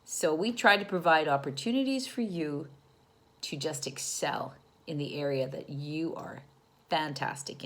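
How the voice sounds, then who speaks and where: A woman teacher doing a storytime read-aloud, talking encouragingly and directly to young children and asking them questions. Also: sits low.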